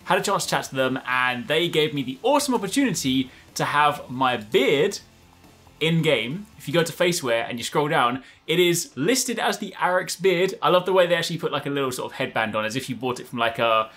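A man in his thirties giving casual, chatty, excitable gameplay commentary, often repeating short phrases. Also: medium pitch, brisk. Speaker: gaming video host. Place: indoors at a desk, gaming setup.

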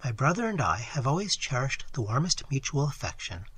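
A man voicing a distressed young lady in a read-aloud story, speaking in a low, beseeching murmur. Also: very animated, brisk, fairly bright tone, slightly rough, heavily acted, poor audio. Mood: earnest. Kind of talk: audiobook character reading.

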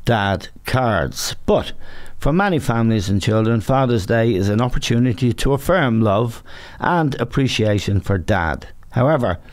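An older Irish man hosting, reading a measured introduction and asking questions. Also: medium pitch, lively intonation. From Ireland. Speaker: interviewer, host. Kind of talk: scripted intro then interview questions.

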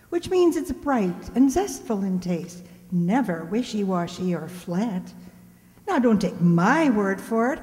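An older woman acts as a cheery old-time radio pitchwoman with a soft, mellow tone and very lively intonation. She is prim, folksy and chatty in her selling. Her voice rings in a big hall. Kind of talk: 1940s radio commercial acting. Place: on stage in a community hall. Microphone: stand microphone. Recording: echoey hall stage.

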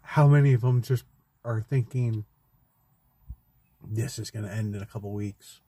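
A young man speaks slowly and haltingly into a phone held close in a parked car, heartfelt and searching for words. His voice is husky and dark-toned, at an ordinary pitch, with a very unhurried pace. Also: no echo. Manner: slow, halting and heartfelt, with restarts. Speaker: vlogger. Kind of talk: emotional personal vlog. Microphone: phone camera close in front.

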